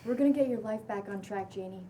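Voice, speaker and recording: A woman reading the part of a smooth-talking, reassuring housemate at a table read, a little away from the microphone. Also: mid-range voice, fast talker, dark tone, close to an everyday voice. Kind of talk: table read of a pilot script. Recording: distant mic, faint room noise.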